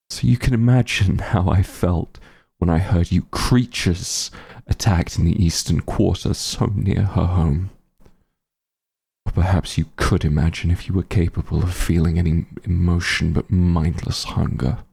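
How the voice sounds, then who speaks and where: A very low, husky male voice acted by a man for a grim fantasy werewolf hunter in an audio roleplay. He is cold and contemptuous, with bitter grief underneath. The delivery is slow and deliberate, full of menace. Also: steady tone, darkish tone, exaggerated voice. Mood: bitter, grieving. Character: bitter, menacing werewolf hunter grieving his missing friend. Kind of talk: scripted ASMR roleplay, slow dramatic monologue.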